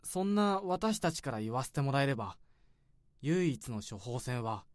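An actor playing a calm, earnest counsellor who gives measured, solemn advice in a radio drama. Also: mid-range voice, no echo, clean studio sound, close to an everyday voice. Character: calm, earnest counsellor researching bittersweet youthful love. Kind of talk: Japanese radio drama dialogue.

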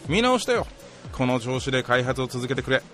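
An actor playing a bossy middle-aged lab chief with an authoritative yet casual manner in a radio drama. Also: male voice, medium pitch, very animated, fairly quick, a bit bright. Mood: pleased. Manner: authoritative, casual superior's speech. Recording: music behind the voice.

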